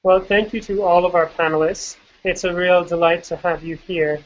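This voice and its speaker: A woman's voice: on the low side, fairly even intonation, normal speed. A woman moderating a panel discussion in a polite, organized, formal way. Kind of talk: hosting a panel. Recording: slightly muffled recording.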